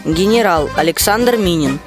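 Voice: medium pitch, lively intonation, normal speed. An announcer reading out a cast list in a scripted manner. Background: instrumental music.

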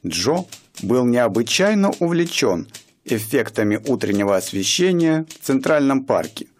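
A male narrator telling a radio play story with a very lively, swooping delivery. His pitch sits in the middle for a man, and he shapes each sentence expressively.

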